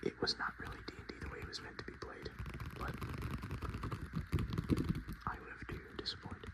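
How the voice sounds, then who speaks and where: A man speaking softly and in whispers, chatting casually as an ASMR host with an unhurried, self-deprecating manner. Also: rough recording. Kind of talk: soft-spoken and whispered ASMR, casual chat.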